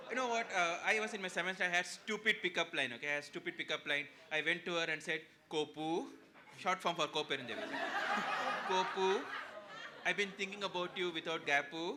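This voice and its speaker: A man's voice: sits high, fairly quick, a crowd in the background. A man with a Tamil Indian English accent performing a stand-up comedy set, with punchy, playful timing. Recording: live stage mic with audience laughter. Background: audience laughter.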